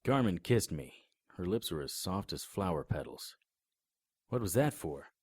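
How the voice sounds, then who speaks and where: A man's voice: low voice, very animated, unhurried, darkish tone, husky, no echo, clean studio sound. A man narrating a first-person noir horror audio drama in a grim, matter-of-fact manner and voicing its lead character.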